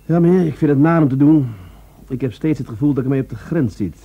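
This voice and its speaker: A man's voice: on the high side, fast talker, husky. Radio drama: an earnest, hesitant police adjutant reporting to his superior; dull, lo-fi sound. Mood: uneasy, doubting. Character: earnest, doubting male Dutch police adjutant. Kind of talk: Dutch radio drama dialogue. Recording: dull radio recording, faint noise.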